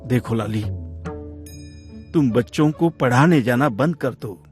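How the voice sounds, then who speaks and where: A man playing a troubled young village husband in a Hindi animated moral story. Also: mid-range voice, unhurried, lightly acted. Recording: music bed under the voice.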